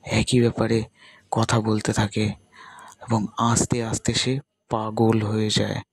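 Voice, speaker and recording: A man narrating a ghost story in slow, suspenseful, broken phrases, with a husky voice. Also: on the low side, monotone.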